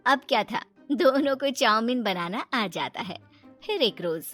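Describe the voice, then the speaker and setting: A woman's voice: on the high side, animated, unhurried, a bit bright, faint music behind. A woman narrating a scripted moral story for children.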